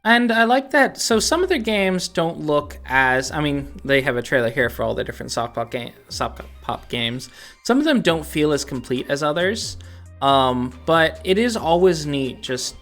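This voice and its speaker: This is a co-host on a casual gaming podcast, speaking in a middle-pitched voice. The delivery is thoughtful and a little halting, feeling out each explanation. Faint music plays behind the talk. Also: big pitch swings, a bit bright. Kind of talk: casual video game discussion.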